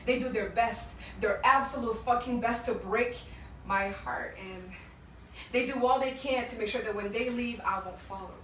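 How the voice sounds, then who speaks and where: A woman's voice: a little high, normal speed, a little hiss or hum. Woman from Rwanda, echoey distant room. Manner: emphatic, rhythmic delivery with sudden loud bursts. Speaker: spoken-word poet. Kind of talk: live spoken-word poetry performance. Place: small indoor art gallery. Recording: echoey gallery room, mic far off.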